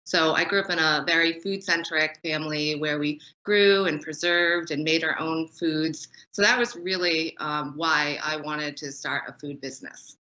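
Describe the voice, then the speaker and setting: A woman from New England, a food business founder and former chef, answering hesitantly with many pauses and ums. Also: sits low, steady tone, pushed and bright. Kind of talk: conversational interview answer. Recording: lo-fi remote audio.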